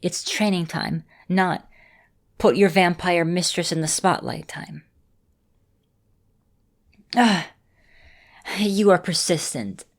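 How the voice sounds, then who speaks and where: A woman plays a vampire mistress in an ASMR roleplay. Her voice sits on the low side, and she talks straight to the listener like a caring, composed teacher, choosing measured, formal words. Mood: flustered. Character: female vampire mistress, caring, composed mentor, easily flustered. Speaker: voice actor in a roleplay audio.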